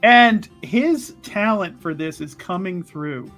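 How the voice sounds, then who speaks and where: A man talks through an improvised roleplay scene in a slightly high, bright voice. His delivery is hesitant and unhurried, and music plays softly behind him. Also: animated. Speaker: tabletop roleplay player. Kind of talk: improvised tabletop roleplay talk. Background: background music.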